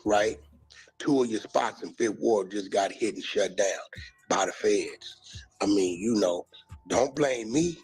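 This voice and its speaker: A man's voice: lowish voice, unhurried, a little mellow, slightly rough, faint music behind, poor audio. An American man in a slangy, emphatic monologue, calling people out in a confrontational way.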